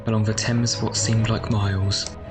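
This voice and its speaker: An actor playing a grown orphan man recalling his boyhood among thieves, in reflective, measured first-person audio drama narration. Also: on the low side, flat delivery, over music, natural voice. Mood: recounting.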